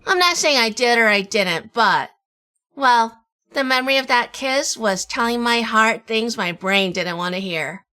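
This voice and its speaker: A woman's voice: on the high side, lively intonation, a little slow, sharp tone. A woman playing a wisecracking, bossy private eye in a 1940s noir audio drama, sardonic and secretly lovestruck. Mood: wry, confiding. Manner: sardonic, scolding. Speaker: lead actor and narrator.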